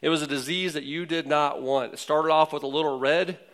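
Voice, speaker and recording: Clear-voiced storytelling male preacher. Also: fairly high pitch, steady tone, fairly quick, fairly bright tone. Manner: conversational storytelling with frequent fillers. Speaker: pastor. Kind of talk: sermon.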